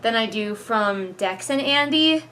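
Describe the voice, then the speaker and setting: A woman's voice: fairly high pitch, expressive, measured pace, sharp tone. Exasperated woman giving casual book commentary. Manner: exasperated, sarcastic critique. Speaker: book reviewer and video host. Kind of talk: casual read-along commentary.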